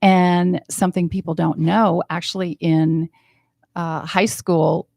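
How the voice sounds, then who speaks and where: A woman's voice: lowish voice, level tone, takes it slowly, vocal fry, a little mellow, no room sound, clean studio sound. A woman from New Hampshire, a life coach guest on a radio show, upbeat and laughing often. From New Hampshire, USA. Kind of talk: radio interview. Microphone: studio microphone.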